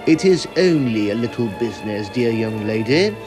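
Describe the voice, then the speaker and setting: A man playing a smooth, scheming villainous guardian with false courtesy in an old-time radio melodrama, formal and theatrical. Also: mid-range voice, expressive, normal speed, over loud music, natural voice. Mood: oily courtesy.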